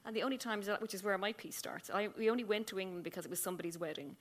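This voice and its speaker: Fast-talking Irish woman on a live panel. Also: medium pitch. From Ireland. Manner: animated, restarts often. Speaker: essayist on a panel. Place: small museum event room.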